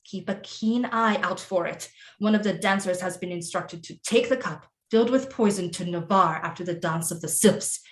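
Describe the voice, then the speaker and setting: A woman acting as a commanding, scheming Renaissance queen mother in an audio drama, with formal, deliberate delivery. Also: medium pitch, natural voice. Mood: commanding, instructing. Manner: imperious, formal, deliberate diction.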